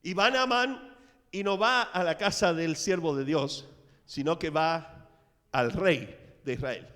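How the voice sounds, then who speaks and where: A middle-aged man preaching a sermon in a church, telling the story with dramatic swings in loudness. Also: on the high side, slow. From Latin America. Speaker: pastor. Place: church sanctuary. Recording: reverberant church hall.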